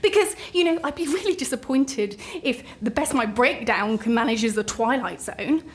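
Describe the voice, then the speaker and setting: An actress playing an anxious, wry woman writer on a train in a radio play, halting and agitated in naturalistic dialogue. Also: fairly high pitch, lively intonation, close to an everyday voice. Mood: wry, rattled. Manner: agitated, halting, self-deprecating.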